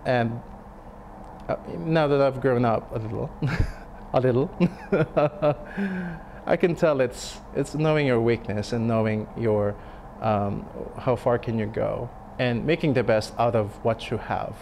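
A man in his thirties, a restaurant chef, speaking in a sit-down interview, thoughtful and halting with many fillers and restarts. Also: medium pitch, creaky, darkish tone, slight background noise. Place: dim restaurant dining room. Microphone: lapel mic.

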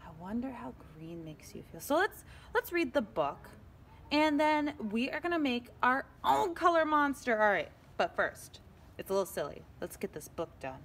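A woman teacher reading a picture book aloud to young children in an expressive way, often turning to them with asides and questions. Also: fairly high pitch, slight background noise. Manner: expressive, slow and deliberate, addressing young children.

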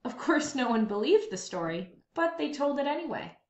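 A woman reading a children's story aloud with expressive, dramatic, emphatic phrasing. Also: high voice, moderate pace. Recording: dull lo-fi, roomy echo.

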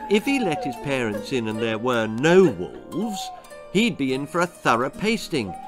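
An older man reading a children's horror story aloud in a deliberate, theatrical way. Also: medium pitch. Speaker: author reading his own children's story. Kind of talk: dramatic storytelling, scripted narration. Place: small book-lined room indoors.

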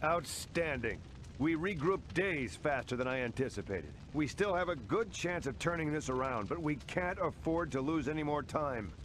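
A commanding resistance general from a war video game. His voice sits a little high for a man and he speaks deliberately, with full authority. Faint noise of battle lies behind him. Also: expressive, fairly bright tone. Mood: grim confidence. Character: commanding, resolute male military resistance general. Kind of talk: video game cutscene acting.

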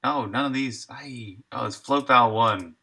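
A man's voice: medium pitch, measured pace, bright, forceful tone, breathy edge. A middle-aged man thinking aloud in short, laid-back fragments as he live-streams his coding work. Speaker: game developer and live streamer. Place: indoor room at a desk, streaming while coding.

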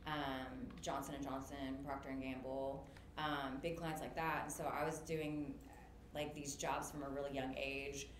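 A woman's voice: very deep voice, level tone, measured pace. A woman in her thirties telling her career story on a video call panel, talkative and full of fillers. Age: 30s. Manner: chatty, lots of fillers, laughs easily. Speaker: guest, makeup artist business owner. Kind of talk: casual panel chat. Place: indoor room, on a video call. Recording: roomy webcam audio with noise.

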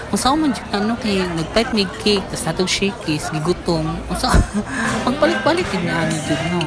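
A woman with a Davao Cebuano accent giving a chatty, teasing voice-over for a family vlog, often ending lines with tag questions. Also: lowish voice. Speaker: vlogger. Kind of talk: casual voice-over commentary. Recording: voice-over under loud music.

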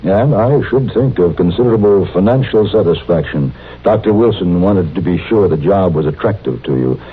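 An actor playing a courteous, businesslike city doctor, speaking in a measured, formal way in a 1950s radio western. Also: male voice, low voice, expressive. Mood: earnest, persuasive. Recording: muffled, hissy old radio recording.

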